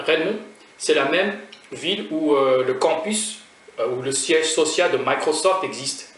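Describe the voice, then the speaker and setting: A man's voice: medium pitch, fairly bright tone. A man from France with a French accent, chatting casually to his viewers while tasting food on his vlog. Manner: chatty and rambling, talking straight to viewers. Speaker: food vlogger. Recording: roomy sound from a distant mic.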